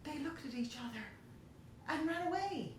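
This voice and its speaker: A woman's voice: high voice, expressive, normal speed. A woman narrating a children's story expressively, in the manner of a storyteller reading aloud. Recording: very echoey room, faint hiss.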